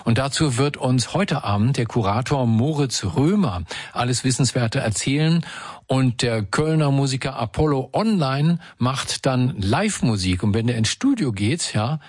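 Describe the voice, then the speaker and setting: A man presenting a radio show segment in a relaxed, chatty scripted way. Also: mid-range voice, moderate pace, dry recording, studio quality.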